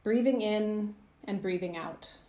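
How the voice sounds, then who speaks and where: A woman narrating a guided visualisation as a coach, in a soothing, gentle, measured manner with phrases that trail off softly. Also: mid-range voice, reverberant. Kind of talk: guided meditation, scripted narration.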